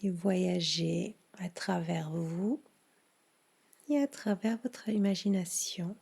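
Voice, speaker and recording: A woman leading a guided yoga nidra relaxation in a soothing, hushed manner with long pauses. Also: lowish voice, gravelly, husky.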